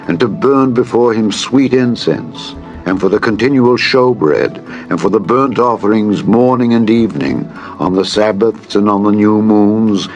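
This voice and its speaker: Man reading as King Solomon, low and solemn. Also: lightly acted. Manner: solemn, formal, earnest. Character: King Solomon, devout and humble male ruler. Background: background music.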